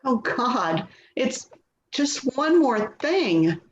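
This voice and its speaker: A woman's voice: a little high, sing-song, drawn-out words, highs cut off, a little hiss or hum, full character voice. A woman playing an anxious, hurt grown daughter in a dramatic play reading. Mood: dismayed.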